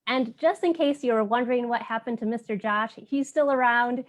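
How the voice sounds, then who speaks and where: A woman's voice: fairly high pitch, moderate pace. A woman hosting a virtual children's story time, explaining things in simple, child-friendly language.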